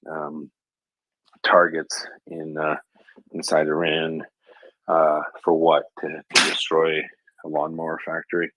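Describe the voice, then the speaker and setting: Male host in his thirties, very low and husky, dry close sound, joking manner. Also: completely flat delivery, slow pace, pauses often, fairly bright tone, no room sound, low-quality recording. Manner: joking, teasing. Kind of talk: casual chat.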